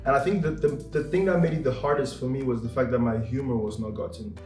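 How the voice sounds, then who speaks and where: Young man from Cameroon, channel host, jokey and relaxed, roomy sound with soft music under him. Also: mid-range voice, fast talker. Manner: joking, playful. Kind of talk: casual vlog chat. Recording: echoey room with music behind.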